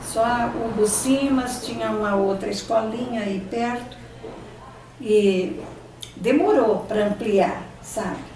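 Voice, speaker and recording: An older Brazilian woman whose first language was Italian, reminiscing in an interview and often pausing to search for words and restarting her sentences. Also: on the high side, dark tone, slight background noise. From Brazil, São Paulo state. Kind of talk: oral history reminiscing. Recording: echoey room, distant mic, faint ambience.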